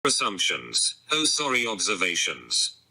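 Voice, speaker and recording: A cold, machine-made male voice that trades jabs on a recorded phone call, sharp and tense in tone and sitting low in pitch. A speech program plays it, spitting out flat, mocking insults. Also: measured pace, husky, exaggerated voice. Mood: taunting. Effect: text-to-speech voice. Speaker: sting caller persona on a phone call.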